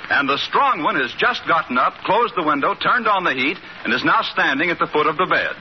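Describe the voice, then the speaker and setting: A man's voice: on the high side, expressive, a little fast. A man announcing and narrating a scripted old-time radio comedy. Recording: muffled, slightly noisy vintage radio recording.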